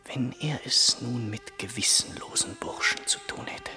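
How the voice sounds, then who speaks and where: An actor playing a poor, brooding young surgeon in a German radio play, speaking in a hushed, halting way as he muses to himself. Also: medium pitch, huge pitch swings, soft background music, heavily acted. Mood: tense scheming.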